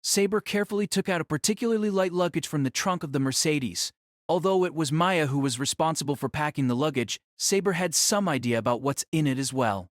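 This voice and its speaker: A man's voice: fairly high pitch, animated, fairly quick, no echo, studio quality. A man reading scripted story narration for an audio drama.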